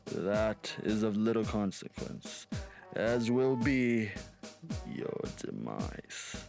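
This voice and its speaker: Radio drama henchman acted by a man: cold zealot, formal menace, slow delivery with big melodramatic swings. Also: on the low side, very animated, creaky, over music, full character voice. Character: cold, grandiose male assassin, zealous servant of a tyrant. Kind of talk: radio play villain acting.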